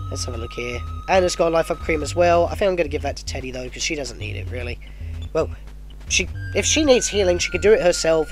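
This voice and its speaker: Stuffed-up man with a lively, high voice. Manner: chatty, self-correcting, excitable at battles. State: stuffed-up nose from a cold. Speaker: let's play host. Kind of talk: casual gameplay commentary.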